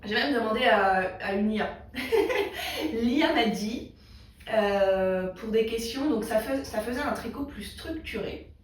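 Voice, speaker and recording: A woman's voice: fairly high pitch, animated, unhurried, slight background noise. Congested woman in an echoey room. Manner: rambling, many fillers and restarts. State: slightly congested throat. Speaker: knitting video host. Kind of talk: casual chat thinking aloud. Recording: echoey room, mic far away.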